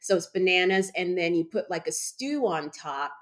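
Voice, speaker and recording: Lowish-voiced woman in her forties, from Uganda. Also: moderate pace. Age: 40s. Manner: warm storyteller, bubbly when excited, earnest about faith. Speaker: guest author. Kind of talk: conversational interview.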